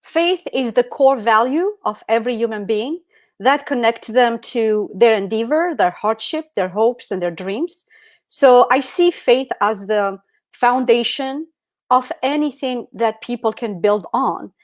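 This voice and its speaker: Middle-aged female guest speaker from Algeria, higher register, dry sound, motivational seminar delivery. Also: lively intonation, a little slow, no room sound. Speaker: guest speaker at an online e-commerce summit. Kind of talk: motivational presentation. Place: indoors on an online video call.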